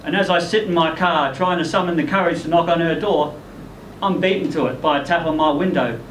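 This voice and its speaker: High-pitched man reading a story, echoey room. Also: a little fast, fairly bright tone. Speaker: story reader performing in character as ageing rock singer. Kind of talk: dramatic first-person short story reading. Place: indoor live literary event venue. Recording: echoey room, distant mic, faint noise.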